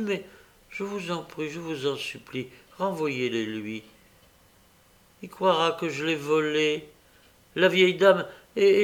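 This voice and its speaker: A desperate orphan boy from a storybook, voiced by a male narrator, with raised pitch. He pleads in a gentle, anguished, imploring way.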